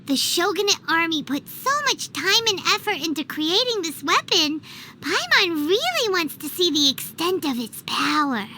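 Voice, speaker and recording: A woman voicing a small, childlike fairy companion in a video game, squeaky, exclamatory and easily offended. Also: very animated, moderate pace, sharp tone, slight background noise, full character voice. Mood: curious, explaining. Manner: refers to self in third person, exclamatory. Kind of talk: animated video game voice acting.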